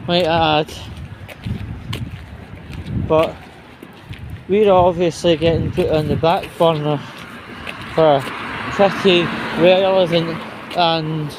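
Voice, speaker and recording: A slow-speaking man with a slightly high voice talks casually to his followers while walking. He is halting and blunt, grumbling and swearing mildly. Clear outdoor ambience and vehicle noise sit behind him. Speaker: vlogger. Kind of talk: casual walk-and-talk monologue.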